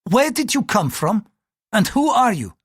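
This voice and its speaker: An audiobook reader voicing an irritable, fanatical Portuguese priest who speaks in an impatient, accusing, forceful way. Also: medium pitch, big pitch swings, a little slow, husky, no room sound, studio quality, pitched above the performer's own voice. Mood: irritated, stern.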